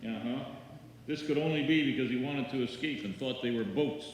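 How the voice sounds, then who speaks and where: A man's voice: mid-range voice, brisk, natural voice. Man playing a sardonic wisecracker in echoing hall. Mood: wry. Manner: deadpan, sarcastic. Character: sardonic, wisecracking male musician neighbor, teasing and deadpan. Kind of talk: live old-time radio comedy acting. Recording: noisy, very echoey live hall.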